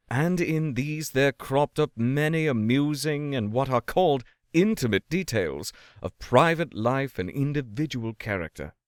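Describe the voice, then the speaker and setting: A man giving a measured, expressive reading of a classic ghost story as a scripted narration. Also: mid-range voice, no room sound, studio quality. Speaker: audiobook-style story reader.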